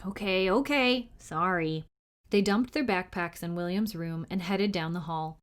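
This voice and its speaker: A woman's voice: lowish voice, fairly even intonation, moderate pace. A woman reading a children's novel aloud as narrator for a library storytime.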